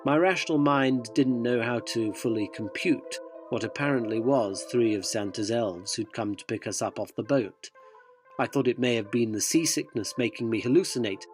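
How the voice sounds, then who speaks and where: A man's voice: lowish voice, lightly acted. An actor playing a sceptical, wry interviewer, narrating his surreal expedition in dry, wordy radio drama style. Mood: wry, uneasy.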